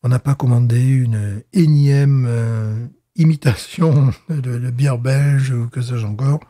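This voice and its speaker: A man's voice: mid-range voice, steady tone, slow pace, mellow. A man co-hosting a podcast, tasting a beer in casual chat, hesitant with many fillers and restarts. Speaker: podcast co-host.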